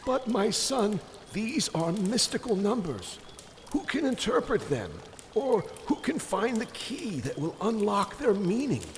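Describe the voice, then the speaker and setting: A wise old father figure played by a man speaks in a high voice with wide, swooping intonation. He is questioning yet gentle, in a dramatic story reading with faint noise behind. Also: big pitch swings, measured pace, full character voice. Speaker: amateur church reader.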